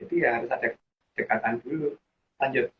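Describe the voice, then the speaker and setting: A man's voice: mid-range voice, fairly even intonation, fast talker. Rambling man explaining. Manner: rambling, restarts with frequent fillers. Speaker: guest explaining teachings. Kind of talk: casual explanatory chat. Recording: dull lo-fi audio with faint hiss.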